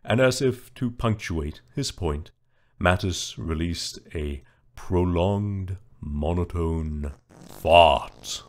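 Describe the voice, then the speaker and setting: A man giving a dramatic reading of a children's book in a slow, deadpan storytelling manner. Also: low voice. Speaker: reader.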